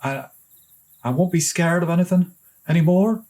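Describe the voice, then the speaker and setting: A man's voice: sits high, moderate pace, a little mellow, voice pushed up, lightly acted. A man voicing a timid young boy, an easily frightened little brother in a storybook tale. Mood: timid, curious.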